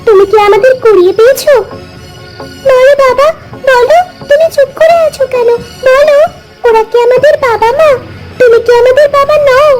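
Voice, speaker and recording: Woman voicing a very high-pitched pleading village girl. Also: moderate pace, a little mellow, soft background music, rough recording. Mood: distressed, pleading. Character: pleading twelve-year-old village girl, devoted to her father. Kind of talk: Bengali animated moral story dubbing.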